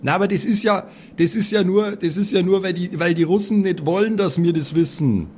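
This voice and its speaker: Man doing a high-voiced earnest schoolboy. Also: a little fast, a little mellow, lightly acted. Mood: earnest, hesitant. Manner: hesitant, repetitive. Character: well-behaved schoolboy, earnest and naive. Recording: faint background noise.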